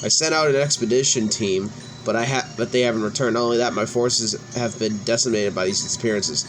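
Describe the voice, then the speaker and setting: Male let's play host, mid-pitched, reading story scenes aloud and chatting casually, music behind him. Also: brisk, rough recording. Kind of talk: reading game dialogue aloud with casual commentary.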